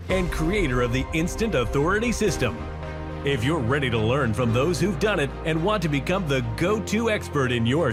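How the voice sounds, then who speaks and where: Man announcing a podcast over music. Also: on the high side. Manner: slow, deliberate promotional delivery. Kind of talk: scripted show intro.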